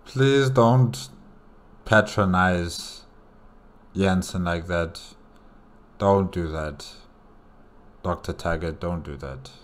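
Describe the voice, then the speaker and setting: A man's voice: deep voice, extremely slow, long pauses. A man hosting a reaction video, giving casual, conversational commentary and repeating himself for emphasis.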